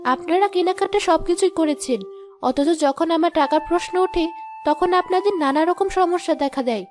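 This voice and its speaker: A woman's voice: very high voice, moderate pace, a little mellow. A woman voicing a proud, plain-spoken poor housemaid and young mother in a cartoon moral story, sounding plaintive and reproachful. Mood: indignant. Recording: music behind the voice.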